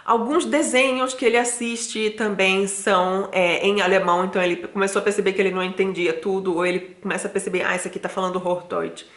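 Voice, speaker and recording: A woman's voice: mid-range voice, steady tone, fast talker, hardly any pauses, a bit bright. A woman in her thirties from Brazil, talking casually to camera at home, with lots of fillers and restarts. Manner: chatty, many fillers and restarts. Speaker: vlogger. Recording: echoey room.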